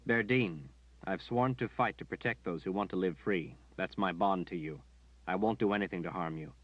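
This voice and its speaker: A man voicing a calm, steadfast young male hero who speaks quietly and earnestly. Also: deep voice, moderate pace, no room sound. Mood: gentle. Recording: dull dry recording.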